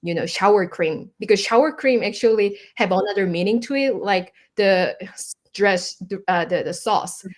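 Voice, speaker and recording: A woman with a Korean accent and a medium-pitched voice speaks as an expert guest in an interview. She is a native Korean speaker, explaining practically in English and searching for words as she works through examples. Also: moderate pace. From South Korea.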